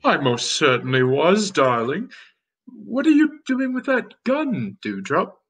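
Man as a husky, swooping doting groom. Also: high voice, sing-song, takes it slowly, fairly bright tone, exaggerated voice. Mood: doting, surprised. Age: young adult. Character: doting, gullible young bridegroom. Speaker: actor. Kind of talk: old-time radio mystery acting. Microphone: video call.

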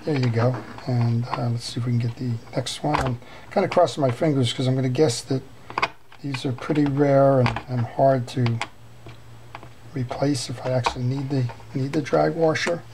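A man's voice: mid-range voice, steady tone, moderate pace, background noise. A man giving a hands-on tutorial at a workshop bench as he repairs a fishing reel, talking unhurriedly with many fillers and restarts. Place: workbench in a workshop.

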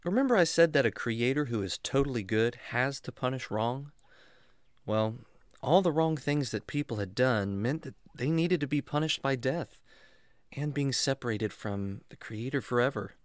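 A man's voice: mid-range voice, moderate pace, no echo, natural voice. A man playing a kindly, enthusiastic jungle man who teaches patiently and expressively in a children's radio drama. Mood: earnest. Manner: expressive, reassuring, explanatory.

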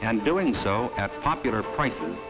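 A middle-aged man presenting a vintage promotional film in a studio, giving a measured, emphatic sales pitch. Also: expressive, rough recording. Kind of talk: scripted promotional narration. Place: studio set. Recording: old film soundtrack with faint music.

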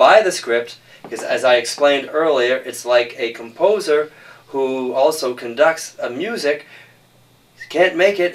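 A man speaking insistently and explaining himself as a film director in an interview discussion. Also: medium pitch. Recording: distant microphone.

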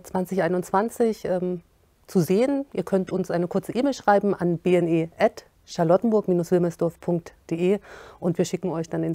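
A woman in her thirties hosting an interview, asking questions and making announcements in a friendly, measured way. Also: fairly low pitch, dark tone, dry recording. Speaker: host. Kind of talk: moderating an event interview. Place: indoor room with curtains.

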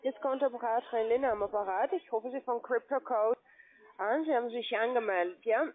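A woman's voice: a little high, a little fast. A woman with a foreign accent, a non-native speaker, calling as a sales agent. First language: not German. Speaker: call centre sales agent. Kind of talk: phone sales pitch. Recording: tinny phone line.

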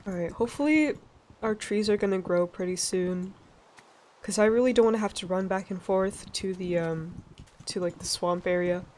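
A young woman from Sweden with a stuffy, nasal voice, chatting casually and laughing as she narrates a relaxed gameplay session. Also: medium pitch, moderate pace, a little hiss or hum. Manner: chatty, rambling, laughs often. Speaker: let's play gamer.

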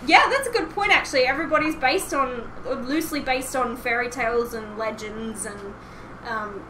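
Young female podcast host, high-pitched, chatty and giggly, with clear background noise on the call. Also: moderate pace, bright, forceful tone. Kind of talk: casual podcast chat. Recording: clearly noisy voice-call audio.

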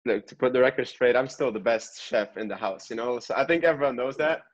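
A man's voice: mid-range voice, brisk, highs cut off. A man in his twenties, a pro soccer player, chatting casually as an interview guest. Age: 20s. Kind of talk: casual podcast interview chat.

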